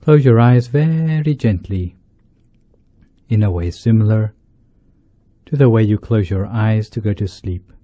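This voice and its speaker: Low, very mellow man guiding meditation. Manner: measured, deliberate short phrases with pauses. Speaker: Buddhist monk leading guided meditation. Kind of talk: guided meditation instruction. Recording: dull lo-fi, roomy echo.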